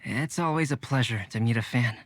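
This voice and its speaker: An actor playing a calm, courteous young male alchemist and artist who speaks softly and measuredly. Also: mid-range voice, slight background noise, close to an everyday voice. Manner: soft-spoken, measured, courteous. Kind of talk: game voice acting.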